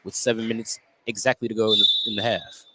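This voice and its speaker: A man's voice: on the low side, little pitch movement, fast talker. A man with an American accent giving conversational color commentary at a live basketball game. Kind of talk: live sports analysis, conversational. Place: indoor sports gym. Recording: clear gym noise behind.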